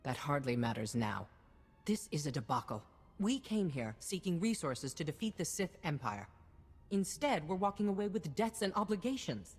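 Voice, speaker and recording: An actress playing a shrewd, stern head of state in a science-fiction video game, with a formal, cutting, authoritative manner. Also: female voice, sits low, very animated, normal speed, husky, exaggerated voice. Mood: angry, accusing. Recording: reverberant hall, music behind.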